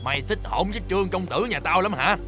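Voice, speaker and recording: A man's voice: high voice, lively intonation, quick pace, music in the background. An actor playing a brutish, sadistic servant henchman with a southern Vietnamese accent, barking bullying questions over and over in a dramatic period style.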